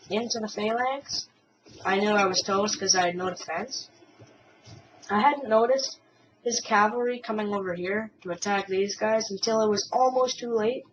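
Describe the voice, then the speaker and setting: A woman giving casual, hesitant commentary on a replay of a strategy game battle, a bit hoarse from a cold. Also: mid-range voice. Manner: hesitant, many ums and restarts. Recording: distant, muffled mic with faint hiss.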